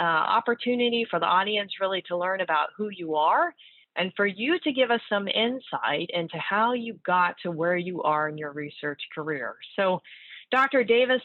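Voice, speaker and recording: A woman's voice: medium pitch, no room sound. A woman neurologist moderating a panel interview, friendly and encouraging, with some fillers. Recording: tinny phone-like line, dry.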